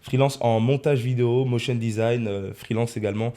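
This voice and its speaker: A man's voice: medium pitch, fairly even intonation, fairly quick, warm tone. A man of twenty-one hosting a casual podcast in a studio, rephrasing his points for emphasis. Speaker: podcast host, freelance video editor.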